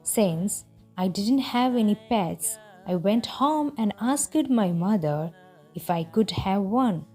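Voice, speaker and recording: A woman narrates a storybook read-aloud for language learners. She reads slowly and deliberately, with clear phrasing and a mid-range pitch. Soft music plays faintly behind her voice. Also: expressive.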